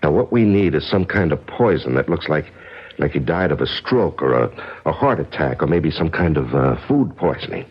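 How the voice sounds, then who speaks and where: An actor playing a wary, gruff conspirator in a 1970s radio drama, blunt and skeptical, on an old radio recording. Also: male voice, extremely low pitch, animated, normal speed, full character voice. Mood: calculating, wary. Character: wary, gruff male lover conspiring in a murder. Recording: dull lo-fi vintage radio recording.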